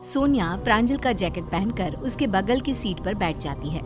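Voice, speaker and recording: A woman narrates a scripted horror tale in a storyteller's role. Her voice sits at a medium pitch, with clear music playing behind it. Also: animated, normal speed.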